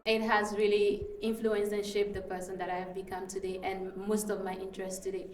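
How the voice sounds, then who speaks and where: A woman's voice: medium pitch, flat delivery, a little fast, recorded from a distance, loud music behind the voice. A young woman from northern Ghana, a student guest in a casual interview, who talks in an easy way with many fillers and frequent laughs. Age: young adult. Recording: echoey room, voice a little off-mic.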